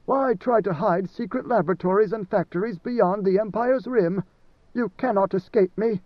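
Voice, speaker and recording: A man's voice: high voice, moderate pace, dry recording, pitched above the performer's own voice, natural voice. A man voicing an ancient, tormented God Emperor who speaks with anguish and command. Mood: scornful. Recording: dry, dull lo-fi sound.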